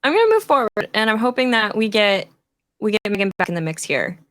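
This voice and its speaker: A woman's voice: medium pitch, big pitch swings, fast talker, fairly bright tone, dry recording. A woman moderating a casual live-streamed conversation, passing questions along to guests. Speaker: host, moderator.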